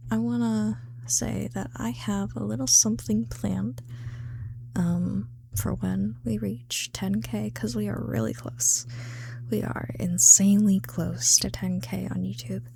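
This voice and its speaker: Slow, softly whispery woman with creaky fry. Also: medium pitch, a little hiss or hum. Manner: self-amused, giggly, freely swearing. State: tired, late at night. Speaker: ASMR creator. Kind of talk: casual rambling chat to viewers; ASMR, partly whispered.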